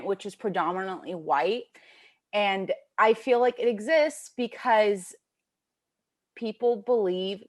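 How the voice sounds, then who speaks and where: A twenty-two-year-old woman who works in a grocery store, giving emphatic, opinionated interview answers. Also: medium pitch.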